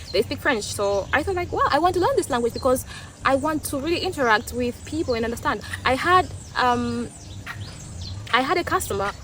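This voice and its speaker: A woman's voice: fairly high pitch, a little hiss or hum. A young woman from Tanzania with a Tanzanian accent, a Swahili speaker talking in English, giving her opinions in a thoughtful, casual vlog, often repeating and restarting words. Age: young adult. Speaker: vlogger.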